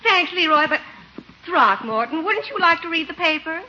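Very bright, coy young radio belle. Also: female voice, very high voice, lively intonation, heavily acted. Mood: breezy. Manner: coy, gushing, drawn-out. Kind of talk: 1950s radio situation comedy acting. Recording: dull, slightly noisy 1950s radio recording.

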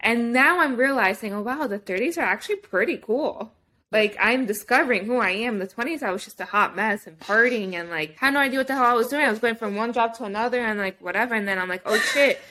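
A woman's voice: a little high, quick pace, sharp tone, poor audio. A woman in her thirties hosting a podcast, talking candidly and laughing easily in casual chat. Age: 30s. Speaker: podcast host.

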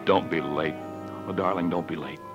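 A low-voiced man in love, pleading ardently, played by an actor in a 1940s radio drama. Loud music swells behind him on a muffled old recording. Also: fairly even intonation, normal speed, natural voice. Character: ardent, pleading wealthy male lover.

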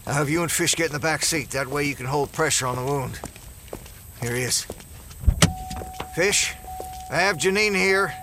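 An actor playing an earnest, protective small-town sheriff in an audio drama, speaking urgently and stammering under stress. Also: male voice, a little high, normal speed, long pauses, noisy background, close to an everyday voice. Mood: tense.

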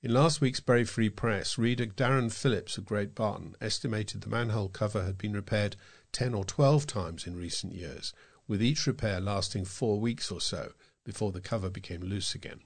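A man reads local news from a script as a volunteer newsreader. His voice sits fairly low, and he delivers each item in a measured, read-aloud way.

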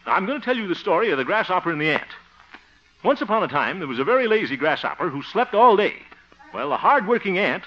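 A pompous, good-hearted sitcom man who is easily exasperated. His highish voice swings wildly as he blusters and grumbles under his breath. It sounds like a muffled 1950s radio comedy. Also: big pitch swings, quick pace. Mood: lecturing. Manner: blustering, muttered asides, frequent chuckles. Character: exasperated, pompous, good-hearted grown son and self-styled mentor. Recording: dull old-time radio recording.

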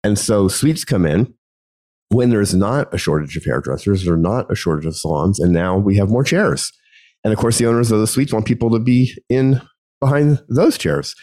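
A man hosting his own podcast alone, talking through a topic in a relaxed conversational way with many ums and restarts, his voice gravelly from a cold. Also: on the low side, normal speed. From United States. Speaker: podcast host. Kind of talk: solo podcast monologue.

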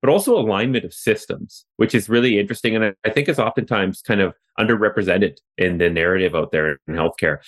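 Brief: Canadian male podcast host, very low voice, chatty, lots of "you know". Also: fast, few pauses. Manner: chatty, loose, many fillers and restarts. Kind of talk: conversational interview. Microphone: remote call.

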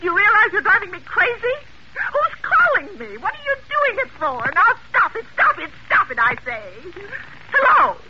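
A woman's voice: very high-pitched, huge pitch swings, moderate pace, heavily acted. An actress playing a nervous, demanding bedridden invalid woman in a 1940s radio thriller, indignant and melodramatic. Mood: frantic. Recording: noisy, muffled old radio broadcast recording.

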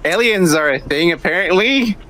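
A man's voice: sits high, animated, moderate pace, sharp tone. A man co-hosting a podcast, chatting casually and laughing often at the jokes. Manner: laughs often, goofy banter. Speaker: podcast co-host.

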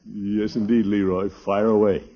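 An American actor playing a jovial, pompous middle-aged uncle in a 1950s radio sitcom. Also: male voice, lowish voice, flat delivery, a little slow, lightly acted. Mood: amused. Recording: noisy, clicking muffled 1950s radio recording.

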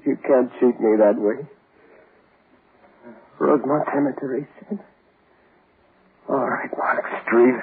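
An actor playing a vengeful, grief-maddened man in 1940s radio horror, speaking in halting, melodramatic mutters. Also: medium pitch, slightly rough, heavily acted. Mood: insistent, disbelieving. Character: vengeful grief-maddened man hunting his wife's killer. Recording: muffled, hissy 1940s radio recording.

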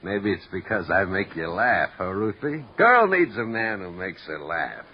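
An actor in a 1950s radio drama playing a brash, sardonic male vaudeville comic with a husky, wisecracking delivery. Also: low voice, sing-song, unhurried, slight background noise. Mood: mocking. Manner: wisecracking, needling. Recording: muffled vintage radio recording.

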